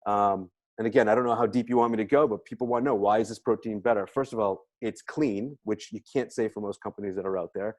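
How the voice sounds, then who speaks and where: A man's voice: on the low side, fairly even intonation, quick pace, hardly any pauses. A man giving an enthusiastic, emphatic product presentation as a health practitioner, repeating points as he explains. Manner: emphatic, repetitive, salesy explaining. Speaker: health practitioner promoting supplements.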